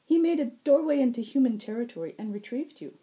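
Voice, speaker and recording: A woman's voice: a little high, big pitch swings, quick pace, warm tone, pitched above the performer's own voice. A woman voicing a playful, teasing male fox fairy herald with courtly, flowery phrasing and a silvery tone, in a fantasy story reading. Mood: matter-of-fact, playful.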